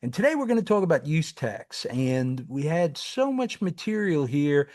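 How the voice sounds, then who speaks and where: A man with a New York accent co-hosting a podcast, explaining tax history in a relaxed, casual way with frequent ums and uhs. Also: fairly high pitch, normal speed. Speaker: podcast co-host.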